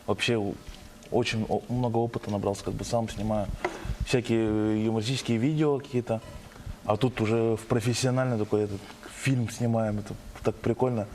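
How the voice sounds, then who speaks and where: A man's voice: lowish voice, steady tone, a little fast, a little mellow, a little hiss or hum. A young male actor talking casually and enthusiastically in an interview. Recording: music behind the voice.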